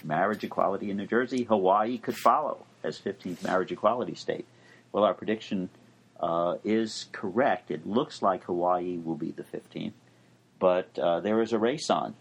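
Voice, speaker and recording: An older man, a law professor and co-host, explaining legal news in a dry, measured way. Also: low voice, creaky, a little hiss or hum, poor audio.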